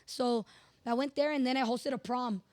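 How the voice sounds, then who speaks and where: A high-pitched young woman. Her voice is hoarse and worn from hosting events. She talks in a candid, slangy, emphatic way, full of animated storytelling. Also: moderate pace. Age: 20s. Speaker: podcast guest, radio personality. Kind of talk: candid casual interview chat.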